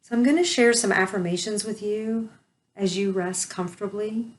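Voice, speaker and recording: A woman leading a guided meditation, speaking softly and soothingly with long pauses. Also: medium pitch, low-quality recording.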